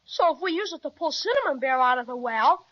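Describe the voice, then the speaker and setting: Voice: high voice, expressive, quick pace. An actor playing a plucky, eager little boy with gee-whiz earnestness in a children's radio serial. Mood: concerned. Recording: dull vintage radio recording.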